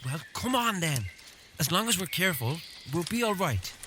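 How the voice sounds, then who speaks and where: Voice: mid-range voice, sing-song, moderate pace, noisy background, natural voice. An actor playing a reckless but protective older brother, a young boy adventurer, earnest in an audio drama. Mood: urgent, awed.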